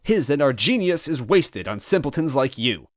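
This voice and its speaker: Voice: medium pitch, very animated, moderate pace, no room sound, clean studio sound, pitched above the performer's own voice, close to an everyday voice. A performer voicing a smug, theatrical villain from a storybook, gloating and menacing as he boasts. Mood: indignant. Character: smug, theatrical villain lieutenant disguised as a toad. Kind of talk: acted storybook villain dialogue.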